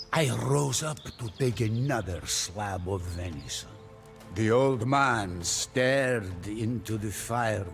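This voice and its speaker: A man reading dramatic scripted narration for a game cutscene in a solemn, deliberate, theatrical manner. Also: mid-range voice. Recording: music behind the voice. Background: dramatic music.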